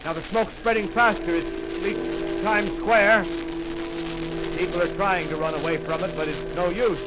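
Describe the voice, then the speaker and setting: A steadfast, doomed radio announcer reports from a rooftop in a raised, strained voice, dragging out his words. Alarm bells clang loudly behind him in this 1930s radio drama. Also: high-pitched, rough recording. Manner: halting, breathless eyewitness reporting. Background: warning bells ringing.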